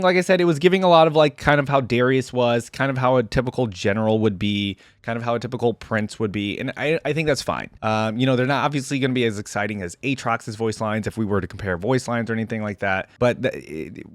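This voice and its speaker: Guy doing casual reaction vid, chatty and rambly, normal pitch, dry recording. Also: no room sound. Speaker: reaction video host.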